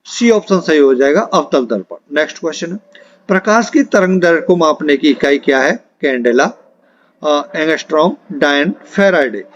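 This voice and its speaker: A man's voice: a little high, lively intonation, normal speed. A man teaching exam preparation, speaking instructively and directly to his students as he takes them through a lesson. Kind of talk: reading multiple-choice quiz questions and answers aloud as a lesson. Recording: dull, muffled lo-fi audio.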